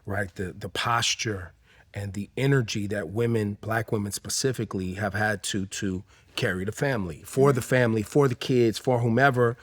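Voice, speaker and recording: A man's voice: fairly low pitch, fairly even intonation, normal speed. A middle-aged man co-hosting an interview, making his points with emphasis and backing up the guest. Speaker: co-host, interviewer. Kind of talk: casual interview talk.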